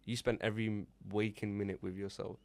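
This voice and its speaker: A man's voice: fairly low pitch, level tone, fast, darkish tone, dry recording. A man in his twenties, co-hosting a podcast, giving earnest reflective advice in casual chat. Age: 20s. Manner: reflective, earnest, fillers like "you know". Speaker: podcast co-host.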